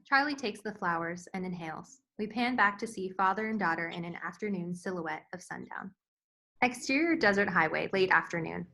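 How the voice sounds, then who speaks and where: A woman's voice: lowish voice, a little fast, bright, forceful tone, rough recording. A woman narrator reading screenplay stage directions as scripted narration.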